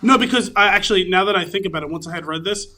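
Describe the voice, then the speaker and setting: A man's voice: high voice, racing, pushed and bright. A man co-hosting a podcast, giving opinions in casual discussion and carefully qualifying what he thinks. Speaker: podcast co-host.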